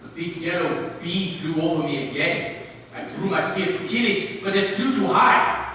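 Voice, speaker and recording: Guy acting a wary spear hunter telling his tale, bright forceful voice, super echoey. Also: sits high, lively intonation, moderate pace, distant mic. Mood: wary wonder. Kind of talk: theatrical storytelling, readers theater. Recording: echoey hall from afar, faint noise.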